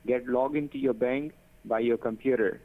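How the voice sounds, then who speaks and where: A man's voice: mid-range voice, steady tone, normal speed. A man with a mid-range voice pushes his instructions again and again in broken phrasing. His voice comes through a thin, tinny phone line. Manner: insistent, repetitive, broken phrasing. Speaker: phone scammer. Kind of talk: scam phone call.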